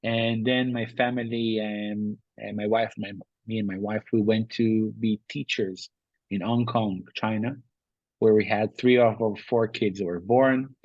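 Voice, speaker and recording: Israeli man, husky voice, dry sound. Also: fairly low pitch, fairly even intonation, unhurried, dry recording, lo-fi sound. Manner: thoughtful, with frequent restarts and uh fillers. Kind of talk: interview answers.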